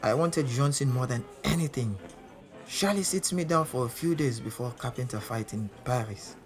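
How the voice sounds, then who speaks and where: A man's voice: medium pitch, moderate pace, natural voice. Man acting a proud boxer storyteller over music. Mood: proud, intense. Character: proud, defiant male boxer telling his story. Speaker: narrator in an audio drama. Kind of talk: dramatic first-person storytelling. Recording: music behind the voice.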